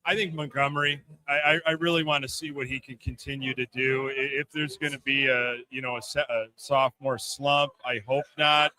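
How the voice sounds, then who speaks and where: Bright, forceful man talking sports. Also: medium pitch, flat delivery, moderate pace, few pauses, rough recording. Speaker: sports podcast guest. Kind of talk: casual sports commentary.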